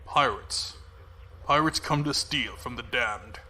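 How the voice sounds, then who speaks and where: Man as a sharp, commanding fleet commodore. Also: mid-range voice, heavily acted. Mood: cold, composed. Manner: deliberate, commanding, formal. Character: cold, composed, menacing male imperial fleet commodore. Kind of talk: science fiction audio drama. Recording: noisy ship-like ambience behind.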